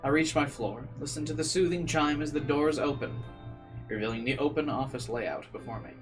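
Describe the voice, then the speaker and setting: A man's voice: fairly high pitch, normal speed, music in the background. A man doing casual livestream commentary and reading a visual novel aloud, rambling and incredulous in manner. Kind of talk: casual livestream commentary mixed with read-aloud game narration.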